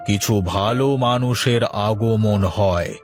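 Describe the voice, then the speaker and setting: A man voicing a serene, wise guru in a cartoon, preaching solemnly in drawn-out phrases. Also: lowish voice, soft background music. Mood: solemn, benevolent. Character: serene wise guru blessing and instructing his disciple. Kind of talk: cartoon story dialogue.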